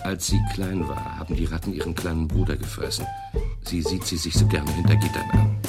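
A matter-of-fact detective in a German radio crime drama. His voice is low and he reports earnestly and plainly, with loud music behind him. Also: fairly even intonation, fairly quick.

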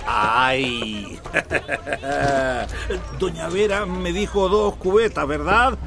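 An actor playing a jovial, grasping farmer who peddles water, in a radio soap opera. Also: male voice, on the high side, very slow, vocal fry, heavily acted. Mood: jovial hawking. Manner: boisterous hawker with frequent hearty laughter. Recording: street bustle with crowd and vehicles.

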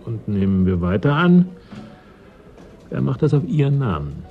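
A man playing a cynical, calculating schemer in a German radio drama, speaking in a sardonic, deliberate, insinuating way. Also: mid-range voice, big pitch swings, phone-quality sound, soft background music. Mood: sly bargaining. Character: calculating, cynical male schemer in a frozen settlement.

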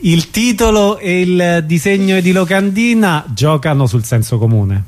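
A man's voice: high voice, expressive, measured pace, faint music behind. A man hosting an informal radio interview, asking questions in an attentive, slightly hesitant way.

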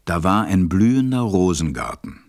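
A man narrating a fairy tale as a measured, reflective storyteller in a radio play. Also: low voice, big pitch swings, gravelly, full character voice. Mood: wistful.

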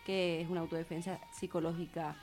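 Lower-voiced woman from Spain, music behind. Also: level tone, fairly quick, a little mellow. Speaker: guest speaker on feminist therapy and gender violence. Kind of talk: informal radio talk.

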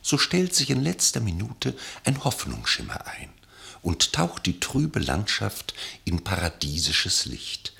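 Deep, highly animated radio play narrator. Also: male voice, unhurried, creaky, exaggerated voice. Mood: wry, descriptive. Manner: literary, vividly descriptive, wry. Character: male storyteller of a wry, literary war escape tale.

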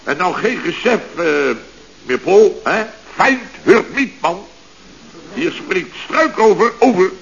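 A man's voice: high voice, lively intonation, slow pace, a bit bright, exaggerated voice. An actor playing a bumbling, self-important male contractor boss in a radio comedy, stammering and repeating himself as he gives orders. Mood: exasperated, commanding. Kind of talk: 1970s Dutch radio comedy serial acting. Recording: dull, noisy vintage radio recording.

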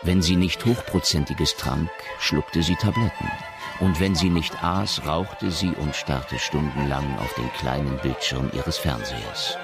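An actor as a solemn storyteller, narrating a science fiction radio play in a measured, literary way. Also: male voice, a bass voice. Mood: solemn narration. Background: music.

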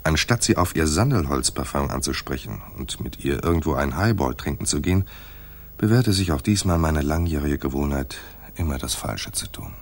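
An actor playing a cool, wry private detective in a hardboiled crime radio play, laconic and sardonic. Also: male voice, a bass voice, animated, normal speed, full character voice. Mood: dry, wry narration. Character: wry, cool male private detective narrating his case.

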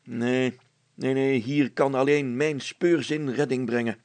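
A man's voice: mid-range voice, steady tone, unhurried, darkish tone, no room sound, close to an everyday voice. A man voicing a pompous, self-important village schoolmaster who plays detective in a storybook, declaiming in formal words. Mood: lecturing.

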